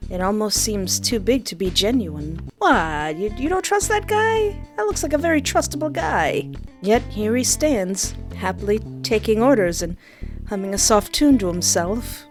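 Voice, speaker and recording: A woman game streamer with a playful, expressive way of talking, reading narration aloud and commenting as she plays. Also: mid-range voice, normal speed, faint music behind.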